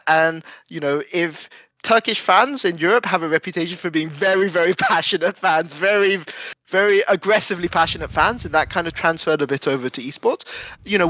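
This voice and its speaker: A man's voice: sits high, bright, forceful tone, dry recording. A man being interviewed as an esports coach, reminiscing conversationally and often circling back to correct himself.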